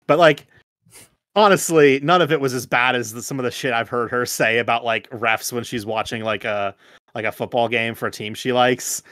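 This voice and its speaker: Young adult American male, casual storyteller, halting and sweary, dry close sound. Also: medium pitch, fairly bright tone, no room sound. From United States. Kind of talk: casual group chat, anecdotes.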